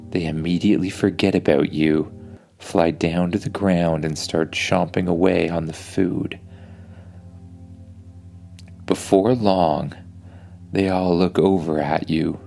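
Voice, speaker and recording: A man's voice: deep voice, fairly even intonation, slow pace, vocal fry, pauses often, a little mellow, faint music behind. A man telling an improvised bedtime story for kids in an informal, soothing way.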